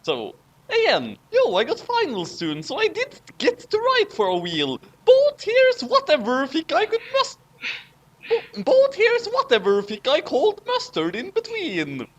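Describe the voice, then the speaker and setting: A man's voice: very high voice, huge pitch swings, measured pace, lo-fi sound. A man co-hosting a dramatic fanfic reading, theatrical and over-acted, with casual chat in between.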